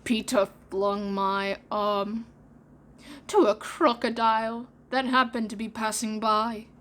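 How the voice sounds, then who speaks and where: A woman voicing a menacing one-handed male pirate captain who talks darkly, slowly and in a hoarse whisper in a storybook reading. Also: on the high side, little pitch movement. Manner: dark, brooding, slow, growling and whispery.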